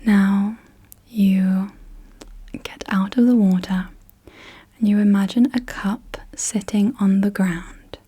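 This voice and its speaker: A young woman whispering softly and unvoiced as an ASMR host, gently asking slow questions in a guided visualisation, close to the mic. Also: mid-range voice, steady tone, slightly rough. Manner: soft unvoiced whisper throughout. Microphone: close sensitive mic.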